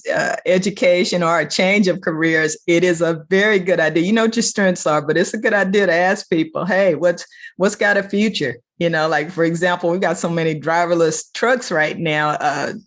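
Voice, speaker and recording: A woman hosting a conversational interview show, chatty and encouraging, with affirming asides. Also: fairly low pitch. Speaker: show host.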